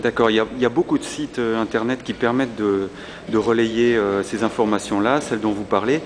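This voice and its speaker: A man with a fairly low voice conducts a podcast interview, hesitant and full of fillers. The ambient noise of a busy convention floor comes through clearly behind him. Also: fairly even intonation, brisk. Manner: hesitant, many fillers and repeated words.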